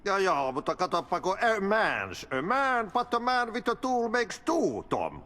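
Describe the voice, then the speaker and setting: An actor playing an older Finnish janitor in a video game, speaking in folksy, cryptic riddles with a Finnish accent. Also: male voice, sits high, very animated, moderate pace, pushed and bright, heavily acted. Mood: playful, cryptic. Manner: folksy riddles, sing-song drawl. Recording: long cavernous reverb.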